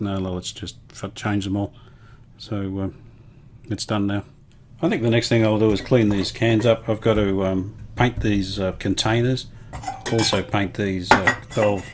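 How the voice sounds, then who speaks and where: A man casually talking through a hands-on repair demonstration, unhurried and matter-of-fact, thinking aloud as he goes. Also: on the low side. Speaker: hobbyist radio restorer presenting his video.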